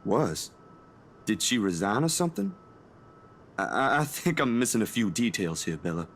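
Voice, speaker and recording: A man's voice: on the low side, very animated, normal speed, fairly bright tone, a little hiss or hum. An actor playing a protective, easygoing young man in a visual novel, talking in a casual, solicitous way. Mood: puzzled, concerned. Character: caring, protective young male friend, easygoing and concerned.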